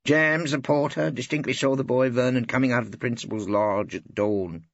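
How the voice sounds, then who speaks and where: A man's voice: mid-range voice, fairly even intonation, moderate pace, creaky, fairly bright tone, dry recording, dull audio, pitched above the performer's own voice. A man voicing a sly, ghoulish, slanderous male law tutor with a grating, conspiratorial delivery, in an audiobook. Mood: triumphant slander. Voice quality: grating, like tearing metal. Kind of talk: audiobook character acting.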